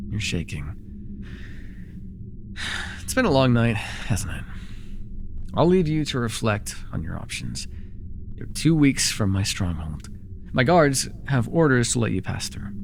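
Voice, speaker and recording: A man acting a smug, seductive and menacing sorcerer ruler in a fantasy ASMR roleplay, with a theatrical, teasing, deliberate delivery. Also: mid-range voice, vocal fry, a little hiss or hum. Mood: coaxing, menacing. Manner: theatrical, teasing, deliberate, with murmured asides and sudden force.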